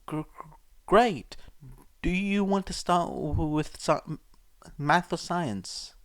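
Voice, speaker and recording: Casting: male reader as a meek, anxious schoolboy for audiobook, raised pitch, halting stammer, eager to please. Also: lively intonation, a bit bright, no room sound, studio quality, full character voice. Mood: forced cheer. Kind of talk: audiobook character voice.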